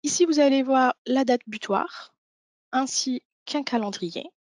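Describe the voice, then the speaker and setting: A woman's voice: high-pitched, unhurried, a little mellow, no echo. A woman presenting an instructional webinar walkthrough, explaining each thing step by step. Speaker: software demo presenter.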